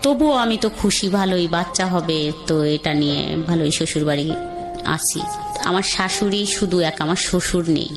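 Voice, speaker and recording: An adult woman, calling in to tell a radio host her life story in a halting, reflective way with frequent restarts. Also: fairly low pitch. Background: soft background music.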